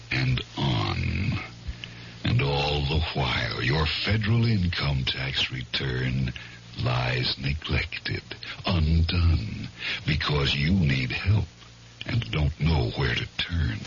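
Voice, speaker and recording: Extremely deep, slow, creaky male announcer. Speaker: public service announcer. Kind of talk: slow, portentous scripted announcement. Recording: muffled, noisy vintage radio broadcast.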